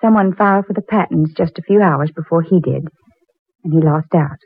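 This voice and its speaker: An actress playing a warm, wistful young woman helping an inventor, in the style of 1940s radio drama. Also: on the low side, normal speed, breathy edge, no echo. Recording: muffled old 1940s radio recording.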